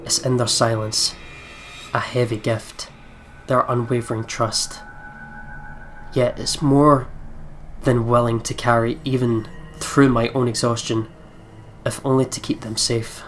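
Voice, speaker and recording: A man's voice: mid-range voice, little pitch movement, measured pace, soft background music. A man hosting a let's play, reading visual novel narration dramatically and chatting casually in between.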